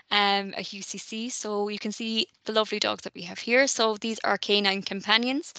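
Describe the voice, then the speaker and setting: A woman's voice: mid-range voice, bright, forceful tone, dry recording, poor audio. A woman giving a friendly, chatty presentation to prospective university students, with many ums and "okay?" check-ins.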